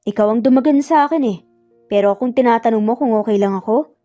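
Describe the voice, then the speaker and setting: A woman voicing a teasing, self-assured male employer in a romance story, with an unhurried manner. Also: fairly high pitch, mellow, dull audio, faint music behind, lightly acted.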